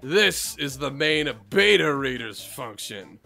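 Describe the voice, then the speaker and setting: Guy doing a fed up anime boss lady, crude, gravelly and swingy pitch, music behind. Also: lively intonation, slow, pushed and bright, heavily acted. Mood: instructive, crude. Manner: theatrical, crude, world-weary. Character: gruff, foul-mouthed, jaded female manga team leader. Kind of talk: comedic visual novel dub.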